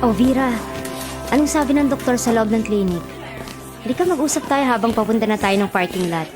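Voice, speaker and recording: Actress doing young filipina bestie, radio drama, caring but teasing, full of questions, highish, music under. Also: female voice, animated, brisk, lightly acted. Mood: concerned, curious. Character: young woman, caring, teasing, inquisitive friend.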